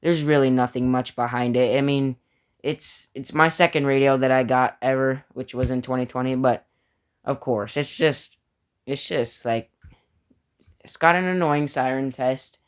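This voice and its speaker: Man, clear smooth voice, medium pitch, casual ranking commentary, informal, opinionated hobbyist. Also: steady tone, measured pace. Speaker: hobbyist video creator.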